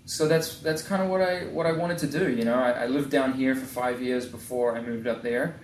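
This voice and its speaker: A man talks about his work in casual, halting bursts. He often stops and restarts his sentences. He sits a little away from the mic in a roomy tattoo shop, and faint ambience runs under his voice. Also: mid-range voice, distant mic, a little hiss or hum. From New Zealand. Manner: halting, many restarts and fillers. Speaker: tattoo artist guest. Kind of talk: casual interview conversation. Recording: echoey room, a bit off-mic.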